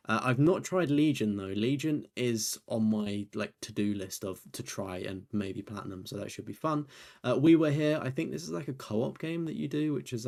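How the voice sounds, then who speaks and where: A young man comments casually on video games, his voice tired and fading after a long stream. He talks in a loose, rambling way, full of fillers and self-deprecating asides, and his voice sits a little on the low side. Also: vocal fry, darkish tone. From United Kingdom. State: tired, voice nearly gone. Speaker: gaming YouTuber and streamer. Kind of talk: casual commentary reviewing a trophy list.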